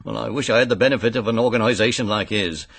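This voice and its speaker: Police inspector, husky, lowish. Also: male voice, quick pace, a bit bright, no echo. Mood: dry, sceptical. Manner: matter-of-fact, deferential. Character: plain-spoken, polite male English county police inspector. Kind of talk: British radio drama acting.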